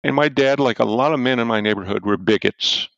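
An older man, a former football coach, telling a story loudly and animatedly as an interview guest and chuckling as he goes. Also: mid-range voice, brisk, vocal fry, dry recording. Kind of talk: storytelling in a podcast-style interview. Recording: dull, dry lo-fi audio.

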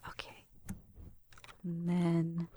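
A woman performing a gentle, intimate ASMR roleplay, softly whispering and murmuring close to the mic as she narrates each step. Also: fairly low pitch, monotone, drawn-out words. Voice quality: soft, breathy, often whispering.

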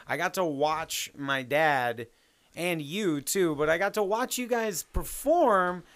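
A man hosting a casual family conversation as interviewer, enthusiastic and quick to interject. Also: a little high, lively intonation, fairly bright tone. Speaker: host interviewing older relatives.

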